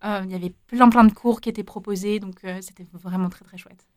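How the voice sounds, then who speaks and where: A woman's voice: mid-range voice, fairly even intonation, quick pace, no room sound. Hesitant young woman, dry close sound. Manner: hesitant, many fillers and restarts. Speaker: interviewee, former volunteer. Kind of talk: casual interview answers.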